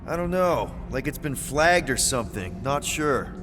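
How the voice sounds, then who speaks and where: A man playing a lively, boyish space-adventurer leader. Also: mid-range voice, measured pace, over music, natural voice. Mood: curious. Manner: casual, colloquial, expressive. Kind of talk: video game voice acting.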